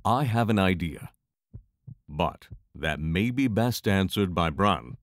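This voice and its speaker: An actor playing a steady, protective male adventurer in a fantasy audio drama, measured and formal. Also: deep voice, no room sound, studio quality.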